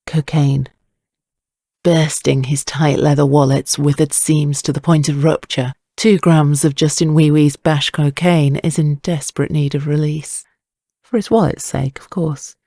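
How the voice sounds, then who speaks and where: Creaky, mellow male narrator, close and dry. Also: fairly high pitch, flat delivery, a little slow, dry recording. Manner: wry, wordy storytelling. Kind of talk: scripted dramatic narration.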